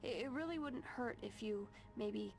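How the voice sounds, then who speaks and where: An actress playing a gentle young American witch in a game cutscene, tentative in her delivery. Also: a little high, moderate pace, gravelly, over music. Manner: tentative, stammering. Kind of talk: video game cutscene acting.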